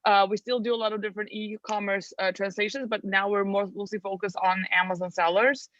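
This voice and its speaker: A woman's voice: medium pitch, little pitch movement, brisk, a bit bright, no room sound. Woman, uh and like everywhere, muffled dry audio. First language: Serbian. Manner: filler-heavy, lots of "uh" and "like". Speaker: guest. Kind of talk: casual interview chat.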